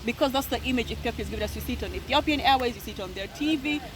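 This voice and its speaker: A woman co-hosting a podcast, telling stories with lively emphasis and often repeating words as she makes her point. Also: on the high side, rapid-fire. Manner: animated storyteller, acts out quoted voices. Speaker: podcast co-host. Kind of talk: casual podcast discussion.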